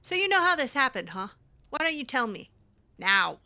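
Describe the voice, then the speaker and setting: A woman's voice: high-pitched, big pitch swings, normal speed, bright, forceful tone. A woman playing a role-play video game, talking casually in character with a playful, sassy, assertive manner. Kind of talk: casual in-character gameplay commentary.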